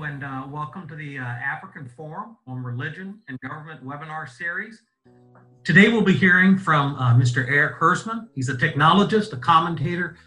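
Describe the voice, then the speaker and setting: A man with a bright, forceful voice and a fairly high pitch introduces the speakers at a webinar. He reads their introductions with small hesitations. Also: low-quality recording. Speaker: webinar moderator. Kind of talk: event introduction.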